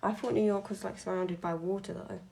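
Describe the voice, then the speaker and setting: A woman's voice: mid-range voice, steady tone, fairly quick, gravelly, mellow. A young woman streaming while she draws, chatting casually in a blunt, sweary, ranting way. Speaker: streamer and artist.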